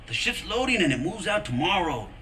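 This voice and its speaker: A man playing an excitable, high-strung, slangy car thief in a video game, with a melodramatic manner. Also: fairly quick, slight background noise, full character voice. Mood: eager, hurried. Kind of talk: video game cutscene acting.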